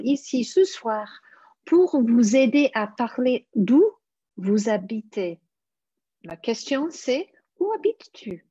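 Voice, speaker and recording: A woman teaching a live online French lesson, speaking slowly and deliberately and spelling out careful examples for her learners. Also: fairly high pitch, mellow, breathy edge, no room sound. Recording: dull, dry lo-fi stream audio.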